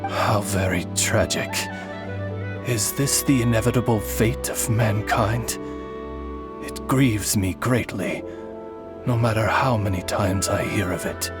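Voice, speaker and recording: A man's voice: on the low side, slow pace, over music. A man voicing a deferential raven familiar in a video game, formal, courteous and solemn like a butler. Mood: mournful. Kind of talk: video game character dialogue.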